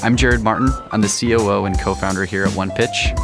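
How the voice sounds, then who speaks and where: A man's voice: lowish voice, steady tone, brisk. Man reading a show intro over guitar. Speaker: podcast co-host.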